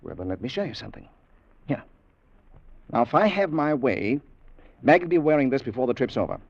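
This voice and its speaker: Cocky hearty ship's mate, big brother type, lively up n down voice, muffled old radio play. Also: male voice, mid-range voice, fairly quick, gravelly, no echo. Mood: proud. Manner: jaunty, confident, teasing. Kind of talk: mid-20th-century radio drama acting. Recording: dull vintage radio recording.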